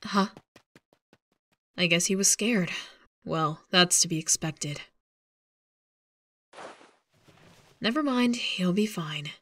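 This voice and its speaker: A woman's voice: on the low side, animated, takes it slowly, fairly bright tone. A woman voicing a tough, cynical, foul-mouthed young street fighter in a comic fan dub. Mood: casual concern. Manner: blunt, cynical.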